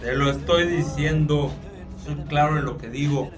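An actor playing a drunk, pushy, foul-mouthed older Mexican family doctor in a radio drama, rambling crudely. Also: male voice, fairly high pitch, normal speed, recorded from a distance, music in the background, close to an everyday voice. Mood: crude, boastful.

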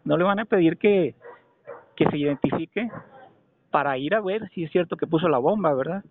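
A Mexican man making a call to argue a point, speaking insistently and haltingly, often repeating phrases for emphasis. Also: fairly high pitch. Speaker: caller. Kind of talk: phone complaint, argumentative questioning. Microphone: telephone. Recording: narrow, tinny telephone call recording.

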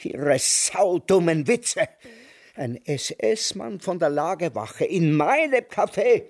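Embittered old man, radio drama, menacing sarcasm, slow delivery, wide emphatic swings. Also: sits high, lively intonation, heavily acted. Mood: indignant. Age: older. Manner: biting, sarcastic, theatrically emphatic. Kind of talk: German radio drama acting.

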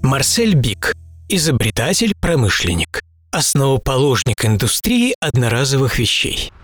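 A man's voice: medium pitch, very animated, moderate pace. A man performing a wry, philosophizing industrialist in dramatic first-person radio narration, musing and ironic. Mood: ironic, dignified. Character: wry, philosophizing male industrialist recalling his travelling youth. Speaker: author and narrator of a radio programme. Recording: loud music under the voice. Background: music.

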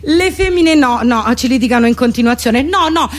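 Woman, very high pitch, comic monologue, theatrical, mock-solemn. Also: animated, moderate pace. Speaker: actress and comedian performing her own monologue.

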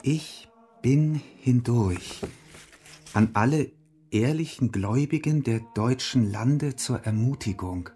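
Worried loyal monk, radio play, admonishing, earnest, medium pitch. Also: male voice, animated, slow, warm tone, slight background noise. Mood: reading solemnly. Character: anxious, cautious monk, loyal companion of a reformer. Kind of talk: German radio play acting.

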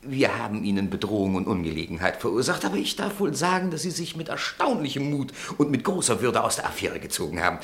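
A theatrical agency director in a radio play, suave and proud of his trade. His voice is middling in pitch with a gravelly creak, and he delivers florid, courteous sales talk with lively swings. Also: fast, hardly any pauses. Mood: courteous, grand. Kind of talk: German radio drama acting.

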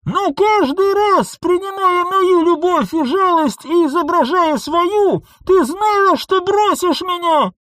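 A man's voice: very high-pitched, slow, few pauses, no echo, clean studio sound, pitched above the performer's own voice. A man doing a muffled, furious space-suited woman. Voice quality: muffled, strained under a helmet. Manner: shouting accusations. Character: furious betrayed woman in a space suit, hateful. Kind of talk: audiobook character voice.

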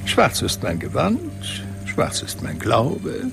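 An actor playing a scheming, pompous church treasurer in a radio play, with a solemn, unctuous cadence. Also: male voice, lowish voice, huge pitch swings, slow pace, creaky, over music, exaggerated voice. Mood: smug.

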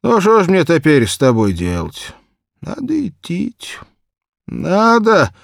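A man's voice: high-pitched, huge pitch swings, slow, creaky, no room sound, studio quality, pitched above the performer's own voice, exaggerated voice. A man playing a swooping, tipsy old cobbler. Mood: fond grumbling. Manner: cooing baby talk, exclamatory, swooping. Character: tipsy old village cobbler, gruff but tenderly fond.

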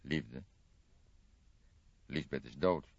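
A man voicing a dry, deliberate older police detective in an audiobook, with a measured, formal, probing way of talking. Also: extremely low pitch, vocal fry, exaggerated voice. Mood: pensive. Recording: dull, roomy audiobook recording.

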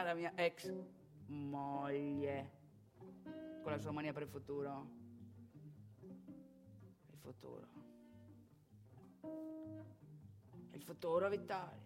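An actress voicing a crude, defiant Italian man in an emphatic theatrical monologue. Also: fairly high pitch. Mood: mocking, exasperated. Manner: emphatic, repetitive, bursts into shouts. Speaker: actor in a solo stage monologue.

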